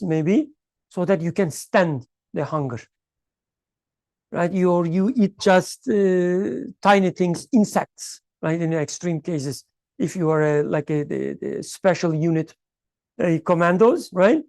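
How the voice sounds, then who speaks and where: A teacher leading a study class, explaining a text in an unhurried way, thinking aloud and checking in with the class as they go. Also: medium pitch, a little mellow, dry recording. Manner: clears throat often.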